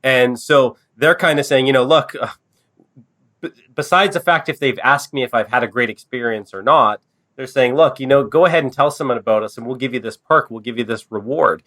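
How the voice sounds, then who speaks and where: A man's voice: mid-range voice, brisk, a bit bright. Stuffed-up man, podcast host, casual chat, easygoing delivery with fillers. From Canada. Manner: conversational, loose, frequent fillers and restarts. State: stuffed up with a cold.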